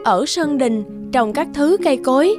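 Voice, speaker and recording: A woman narrating an animated folk tale in a scripted storybook style. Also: high-pitched, a little slow. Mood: storytelling. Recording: background music under the voice.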